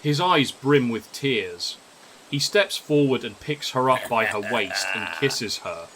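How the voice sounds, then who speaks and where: A man's voice: mid-range voice, lively intonation, slow, crowd noise, low-quality recording. A man reading scripted story narration. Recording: music under the voice.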